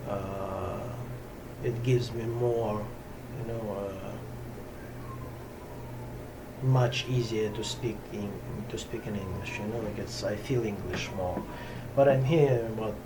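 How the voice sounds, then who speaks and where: A middle-aged man speaks unhurriedly to camera, often pausing to search for the right word. His voice sits a little low and the mic is set back from him. A clear steady hiss runs behind his speech in a small warm room. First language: Russian. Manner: halting, pauses to find words, many fillers. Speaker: yoga teacher. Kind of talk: slow unscripted talk to camera. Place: small hot room in a Brooklyn home ashram. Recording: camera from a distance with steady background noise.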